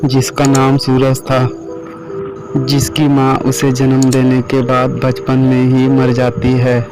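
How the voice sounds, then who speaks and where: Male narrator for cartoon tales: slow, flat delivery, mid pitch, music underneath. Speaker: storyteller, narrator. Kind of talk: scripted cartoon story narration. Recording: music behind the voice.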